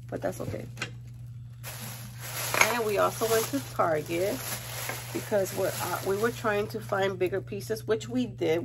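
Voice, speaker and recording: A woman's voice: fairly low pitch, vocal fry, background noise. A congested woman chatting casually to viewers in a rambling haul vlog, thinking aloud as she goes. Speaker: home decor haul vlogger.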